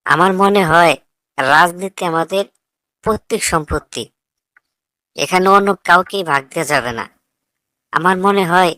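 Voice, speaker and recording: Cartoon role: young foreign-educated idealist , voiced by a woman, defiant and sarcastic, slightly low pitch, dry close sound. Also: bright, forceful tone, no room sound. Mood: sarcastic, bitter. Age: young adult. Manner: argumentative, sarcastic, lecturing. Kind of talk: scripted cartoon dialogue.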